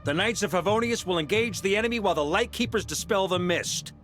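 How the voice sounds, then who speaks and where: An actor playing a bold, easygoing knight commander in a video game, giving commands in a relaxed, friendly way. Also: male voice, high voice, fast, soft background music. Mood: steady command. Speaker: Grandmaster of a knightly order.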